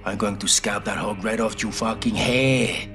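A man's voice: on the low side, fairly even intonation, normal speed, pushed and bright, soft background music. A man voicing a swaggering, menacing middle-aged cartel lieutenant in a video game, with a Mexican accent. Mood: mocking threat. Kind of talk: video game cinematic acting.

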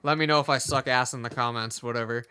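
A young man co-hosting a casual podcast, quick and joking and swearing freely, in a room lined with shelves. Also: medium pitch, steady tone, pushed and bright, smooth. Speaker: podcast co-host. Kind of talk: casual chat. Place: room with shelves of movies and comics.

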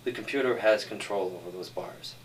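A man with a low voice debates insistently, circling back to his point. He sounds a little way from the mic, with slight noise around him. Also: brisk. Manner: insistent, repeats himself. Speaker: screenwriter defending his script. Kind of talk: argumentative group discussion. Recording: distant mic with some noise.

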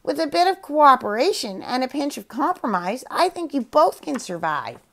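Squawking plump red parrot, silly and mimicking, very high voice, odd strange tone. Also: female voice, big pitch swings, moderate pace, fairly bright tone, exaggerated voice. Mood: wise.

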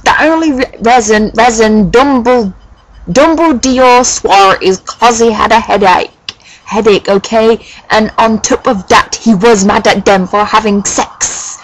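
A woman voicing an overdramatic, defensive teenage girl author with shrill, whiny, exaggerated emphasis. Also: unhurried, no room sound, rough recording. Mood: defensive, petulant.

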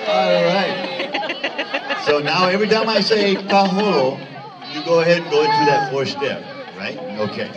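A man's voice: high voice, animated, measured pace, dull audio, very noisy. A man teaching children hula steps on stage, counting aloud in an upbeat way. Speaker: hula instructor. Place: large hall at a children's show. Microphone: phone camera far from stage. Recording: distant phone recording, loud music and crowd.

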